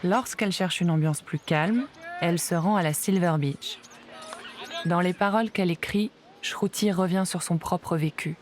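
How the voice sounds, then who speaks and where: A woman narrating a documentary in a calm, even, scripted way. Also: on the low side, normal speed, a little hiss or hum.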